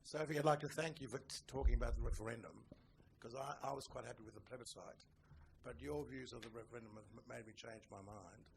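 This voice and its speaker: Gravelly man asking from the audience. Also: medium pitch, steady tone, quick pace, a little mellow, a little hiss or hum, low-quality recording. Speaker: audience questioner. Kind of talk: live question from the floor. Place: indoor conference session.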